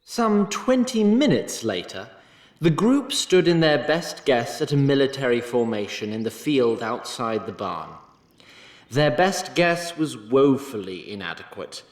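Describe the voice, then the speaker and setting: A male narrator tells a fantasy story at an unhurried pace, with wide swings in pitch. His delivery is measured and dramatic, sometimes wry, in an echoey, reverberant sound. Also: sing-song.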